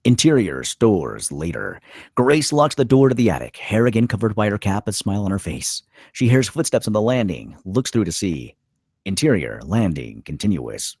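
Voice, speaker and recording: A man's voice: on the low side, sing-song, moderate pace, darkish tone, breathy edge, no echo, clean studio sound. A man reading stage directions as the narrator of a screenplay table read.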